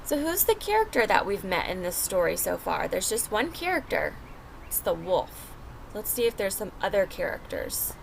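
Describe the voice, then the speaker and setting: A woman reading a picture book aloud like a teacher, pausing to ask and answer simple questions for young children. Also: mid-range voice, big pitch swings, vocal fry, a little hiss or hum, low-quality recording. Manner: simple explanatory talk, asking and answering questions.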